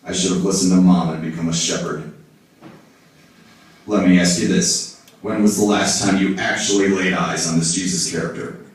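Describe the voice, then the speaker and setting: A man playing a wisecracking, gruff private detective puppet in a film-noir parody, slangy and wry. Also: deep voice, fairly even intonation, moderate pace, pauses often, natural voice. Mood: wry, joking. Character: wisecracking, down-on-his-luck hard-boiled male private detective puppet. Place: indoor hall, behind a puppet stage. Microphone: far camera in the audience. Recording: echoey room, distant audience camera.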